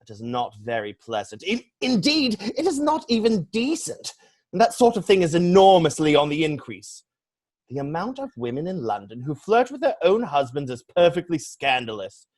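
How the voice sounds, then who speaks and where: A man playing a witty, flippant young English gentleman in a stage comedy reading, declaiming with pompous mock seriousness. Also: on the high side, very animated, moderate pace. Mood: airy indignation.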